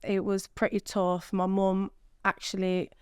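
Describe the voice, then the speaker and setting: Podcast guest: young woman from Iraq, very clear smooth voice, dry close sound, casual and chatty. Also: mid-range voice, monotone, unhurried, a little mellow, no echo. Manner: chatty, frequent fillers and "do you know what I mean". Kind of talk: casual interview chat.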